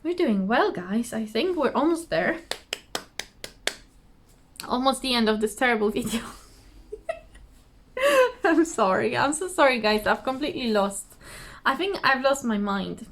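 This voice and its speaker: A woman with a slightly hoarse voice, chatting casually to camera at home in a rambling, giggly, self-deprecating way. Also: a little high, animated, a little slow, pauses often, slight background noise. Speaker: cross-stitch vlogger. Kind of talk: casual chatty craft vlog. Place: indoors at home, trees outside behind her.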